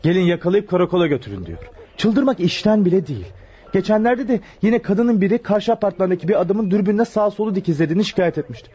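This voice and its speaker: A bumbling, eager junior policeman in a radio play. His high voice is husky and swings about, flustered and obsequious. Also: expressive, quick pace, dark tone. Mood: exasperated. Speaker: radio drama actor. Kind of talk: Turkish radio theatre comic acting. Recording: dull, lo-fi radio recording.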